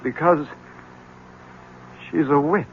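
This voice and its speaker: An actor playing a troubled, polite husband, hesitant and earnest, in a 1970s radio drama. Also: male voice, fairly high pitch, slow. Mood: grave. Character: troubled, polite husband confessing dark intentions. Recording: dull, hissy 1970s radio recording.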